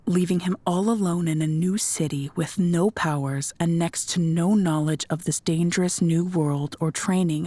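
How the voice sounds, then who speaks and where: A woman's voice: lowish voice, mellow, dry recording. A woman reading scripted narration for an audio drama as its narrator.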